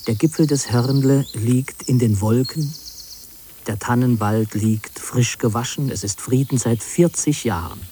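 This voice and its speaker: Soft, breathy man narrating soberly. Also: mid-range voice. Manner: measured, sober, reflective. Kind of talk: scripted literary memoir narration.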